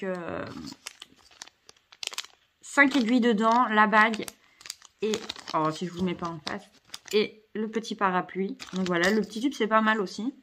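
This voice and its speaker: A woman with a cold talks through an unboxing at home, stopping often to hesitate and correct herself. Her pitch sits in an ordinary middle range, and faint noise lies behind her voice. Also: fairly bright tone. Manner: hesitant, many fillers and self-corrections. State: has a cold. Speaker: craft vlogger. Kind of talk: casual unboxing chat.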